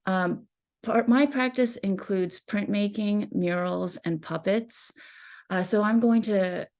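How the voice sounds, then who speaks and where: A woman from West Texas with an American accent, giving an informal slide talk as an artist in a reflective, halting manner. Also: medium pitch, darkish tone. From West Texas, United States. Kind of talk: informal slide presentation about own life and art.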